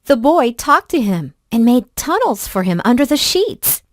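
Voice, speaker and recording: A woman reading a children's story aloud in an expressive, deliberate storybook manner. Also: fairly high pitch, no echo, clean studio sound.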